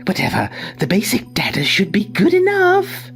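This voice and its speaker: A woman voicing a cocky, careless young boss's son in a manga dub, breezy and excitable. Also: medium pitch, moderate pace. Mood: dismissive. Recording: music under the voice.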